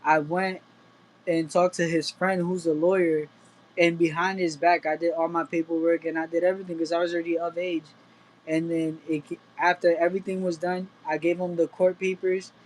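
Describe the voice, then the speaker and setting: A man's voice: a little high, flat delivery, moderate pace, slight background noise, poor audio. A young man from Puerto Rico and the United States, a boxer giving casual, plain-spoken interview answers. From Puerto Rico until age eight, then the United States. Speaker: professional boxer, interview guest.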